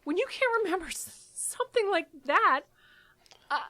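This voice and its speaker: Weary caregiver daughter, worried and emphatic. Audio drama, high-pitched voice. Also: female voice, animated, bright, forceful tone, full character voice. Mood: exasperated, upset. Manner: brisk, emphatic, fraught.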